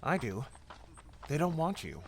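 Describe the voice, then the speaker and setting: A man's voice: fairly high pitch, wildly swooping pitch, measured pace, a little hiss or hum, exaggerated voice. A man acting a protective, practical, casual traveling companion in an audio drama. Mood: matter-of-fact.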